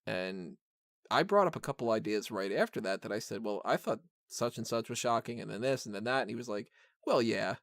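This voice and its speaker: A man's voice: lowish voice, animated, brisk, dry recording, studio quality. A man hosting a podcast, chatting casually and off the cuff about films, rambling, with blunt opinions and the odd joke. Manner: rambling, conversational, frequent "like" and "kinda". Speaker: podcast host reviewing a film. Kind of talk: casual unscripted review chat.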